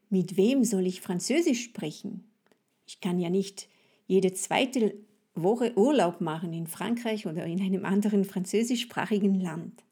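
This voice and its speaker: A woman with a French accent leads a podcast language lesson at an unhurried, slow pace. Her voice is soft-edged and mellow, with some huskiness. She explains things deliberately, addressing the listener directly as a coach would. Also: medium pitch, husky.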